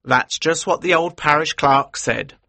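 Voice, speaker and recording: An actor playing a chatty, helpful village parish clerk, respectful in manner, in a dramatised audiobook. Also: male voice, fairly high pitch, measured pace, creaky, bright, forceful tone, no room sound, exaggerated voice.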